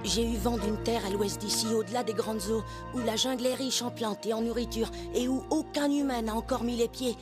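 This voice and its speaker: A woman's voice: on the high side, fairly even intonation, fairly quick, hardly any pauses, over loud music, natural voice. A woman voicing an earnest, hopeful human child raised by animals in a cartoon. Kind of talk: dubbed cartoon acting.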